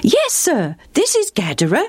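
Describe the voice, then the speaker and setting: An eager little village boy, put on by a woman storyteller, chatty and respectful, peppering every answer with sir. His voice sits high and bounces up and down with excitement, as in a storybook read aloud. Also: unhurried, breathy edge, no echo, heavily acted.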